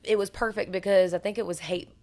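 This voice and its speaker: A young woman with a naturally raspy voice, telling stories in an earnest, rambling way as a singer being interviewed. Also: medium pitch, steady tone, fast, darkish tone. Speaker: guest singer. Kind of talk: casual interview chat.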